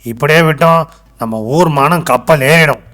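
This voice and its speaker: A man voicing an earnest, grumbling village man in an animated moral story. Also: fairly high pitch, moderate pace, soft background music, close to an everyday voice. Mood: aggrieved. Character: embarrassed, grumbling village man worried about local honour.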